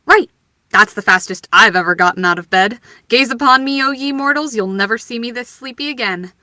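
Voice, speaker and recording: A woman's voice: a little high, a little fast, bright, forceful tone. A woman playing a flippant, theatrical young writer with a playful, sardonic manner in an audio drama. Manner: sardonic, playful, mock-grandiose.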